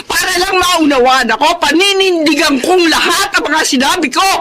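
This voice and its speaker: A woman's voice: very high voice, normal speed. A woman playing an outspoken middle-aged Filipino wife and mother in a radio drama, emphatic and indignant. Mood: defiant.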